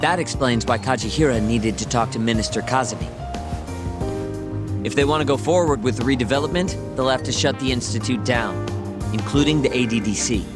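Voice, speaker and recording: A man's voice: mid-range voice, brisk, lightly acted. An actor voicing a glib male informant in a game dub, laying out shady dealings in a casual, wry, slangy way. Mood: explanatory, wry. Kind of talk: English game dub dialogue, conversational exposition. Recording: music behind the voice.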